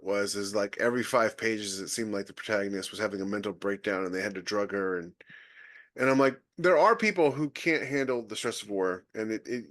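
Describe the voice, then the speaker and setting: A man's voice: lowish voice, no room sound. A man co-hosting a podcast, giving firm, emphatic opinions in casual talk. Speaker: podcast co-host. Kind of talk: casual panel discussion.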